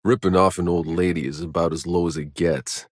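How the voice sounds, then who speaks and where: Gruff, sardonic game mercenary: low register, terse and wry delivery, dry studio sound. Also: male voice, steady tone, fairly quick, dry recording. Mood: sober, matter-of-fact. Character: gruff, sardonic male mercenary survivor on a hostile planet. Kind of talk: video game voice lines.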